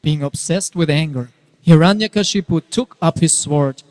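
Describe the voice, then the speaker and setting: A male narrator reads a scripture tale in dramatic, scripted style. His voice sits a little high and carries a long hall reverb. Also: normal speed, darkish tone.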